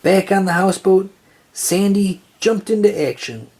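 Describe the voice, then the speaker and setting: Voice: mid-range voice, normal speed, darkish tone, slightly rough. A storyteller reading a children's picture book aloud in narration style. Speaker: storybook reader.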